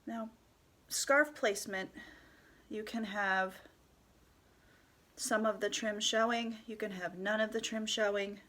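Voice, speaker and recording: A woman with a stuffy nose from a cold, calmly talking through a step-by-step tutorial and often dropping to a soft whisper. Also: medium pitch. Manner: calm, unhurried, often drops to a soft whisper. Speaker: head wrap tutorial host.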